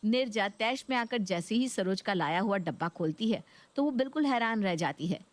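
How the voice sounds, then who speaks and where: A woman's voice: medium pitch, expressive, normal speed, no room sound. A woman narrating a scripted Hindi story.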